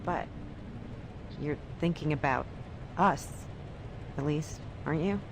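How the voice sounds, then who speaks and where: A woman voicing an anxious, soft-hearted space station crewmember in a game, earnest and naturalistic. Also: low-pitched, takes it slowly, background noise. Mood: gently probing. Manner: earnest, worried.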